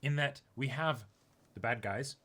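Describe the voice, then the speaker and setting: A man with a bright, forward voice at a medium pitch talks as co-host of a reaction show. He speaks casually, working through his thoughts in unscripted discussion. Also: unhurried.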